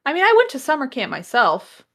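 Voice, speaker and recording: A woman's voice: sits high, huge pitch swings, a little fast, fairly bright tone. A woman chatting casually as a podcast panelist, plain-spoken and questioning. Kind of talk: casual chat.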